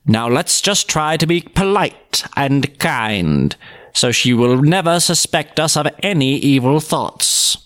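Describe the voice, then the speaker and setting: A man voicing a sly, cold-hearted winter witch in a storybook, commanding and deliberate. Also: fairly high pitch, very animated, fairly bright tone, full character voice. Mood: scheming. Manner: slow, deliberate, scheming.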